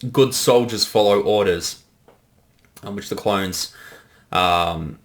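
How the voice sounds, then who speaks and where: Low-voiced man giving casual commentary. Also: takes it slowly, a bit bright. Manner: self-deprecating, informal, swears. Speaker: director of a fan parody giving commentary. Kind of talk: casual commentary over an animated episode.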